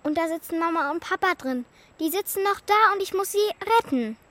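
A woman's voice: very high voice, lively intonation, fairly quick, fairly bright tone, dry recording. A female performer in a children's radio play playing a determined, impatient young girl who speaks in a direct, childlike way. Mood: urgent.

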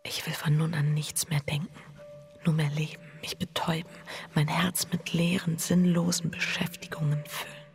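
Anxious wife in radio drama. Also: female voice, low-pitched, flat delivery, normal speed, vocal fry, faint music behind. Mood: haunted, hushed. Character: anxious, guilt-ridden wife confessing her inner thoughts. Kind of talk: radio drama inner monologue.

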